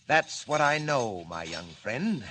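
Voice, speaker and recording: Gang boss, vintage radio serial. Articulate, taunting, menacing, lively intonation, faintly noisy old recording. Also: male voice, medium pitch, takes it slowly. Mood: smug, menacing. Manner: taunting, mock-courteous, articulate. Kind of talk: 1940s radio serial acting.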